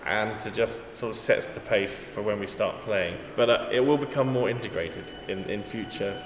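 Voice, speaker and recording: A man's voice: fairly low pitch, moderate pace. A man with an English accent, a composer talking in an interview, thoughtful and self-deprecating, often restarting his sentences. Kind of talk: casual interview. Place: echoey gallery hall. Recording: echoey hall, music behind.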